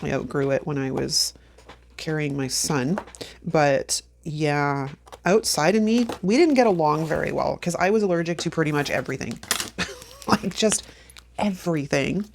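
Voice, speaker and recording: A low-pitched woman in her fifties with a gravelly edge, chatting to herself as she works through a planner spread. She sounds a little sniffly from allergies and breaks into easy laughs. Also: normal speed, a little hiss or hum. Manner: chatty, self-talking while crafting, laughs often. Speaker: planner vlogger. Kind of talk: casual plan-with-me chat.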